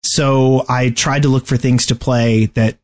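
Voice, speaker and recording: A man co-hosting a podcast in casual gaming chat, speaking in a halting, stammering way. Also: medium pitch, steady tone, a little mellow. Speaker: podcast co-host.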